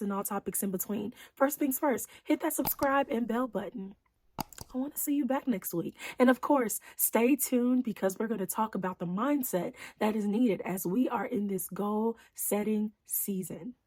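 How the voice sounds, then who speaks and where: A woman psychologist hosting her own channel, talking straight to her viewers in a casual, encouraging way, her voice a little hoarse. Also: mid-range voice, darkish tone, no echo. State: slightly hoarse. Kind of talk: casual talk to camera.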